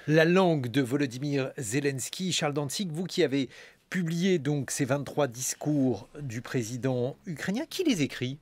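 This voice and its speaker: A man's voice: on the high side, lively intonation, moderate pace, slightly rough. A man hosting a radio interview. He asks long, hesitant questions padded with filler sounds. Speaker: radio host. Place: radio studio. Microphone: studio microphone.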